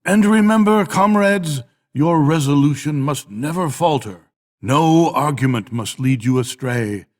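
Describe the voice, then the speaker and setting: An older man reading a storybook dramatically, voicing an elderly boar as a solemn revolutionary orator, with emphatic delivery, rhetorical pauses and long reverb. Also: a little high, darkish tone, natural voice. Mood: rousing, defiant. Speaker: audiobook reader. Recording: long reverberant hall-like echo.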